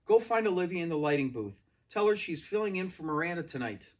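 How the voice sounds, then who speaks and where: An angry school drama director as a male reader acts him out, barking orders in a raised, fairly high voice. He sounds stressed and impatient, as in a storybook read aloud. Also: lively intonation, fast talker, natural voice. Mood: angry, urgent. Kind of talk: audiobook-style character reading.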